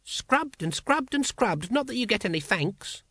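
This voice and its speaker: A man's voice: sits high, sing-song, measured pace, bright, forceful tone, no room sound, studio quality, voice pushed up, full character voice. A man voicing a simple, grumbling member of a secret order, informal and aggrieved, in a comic fantasy storybook.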